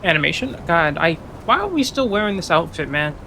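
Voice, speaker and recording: A man streams a video game with casual, grumbling commentary. His voice is fairly high and creaky, with a sharp edge. He swears freely and sounds sarcastic, over faint music. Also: big pitch swings, fairly quick, a little hiss or hum.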